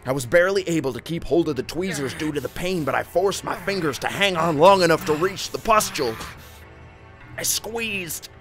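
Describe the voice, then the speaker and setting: A man narrating a scripted first-person horror story in an expressive, suspenseful storytelling manner. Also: on the high side, normal speed. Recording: music bed under narration. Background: background music.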